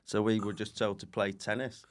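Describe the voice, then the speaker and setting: A man's voice: lowish voice, level tone, normal speed. A man, a comedian guest, chatting casually and telling rambling, self-deprecating anecdotes.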